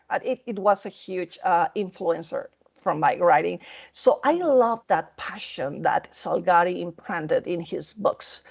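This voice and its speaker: A woman author answering interview questions over a video call, earnest and halting, often restarting her sentences. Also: medium pitch.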